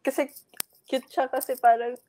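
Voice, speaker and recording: A young woman with a Filipino accent teases and giggles as she talks. Her voice is slightly high and mellow. She speaks through an earphone mic on a video call. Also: level tone, normal speed. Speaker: volleyball player guest.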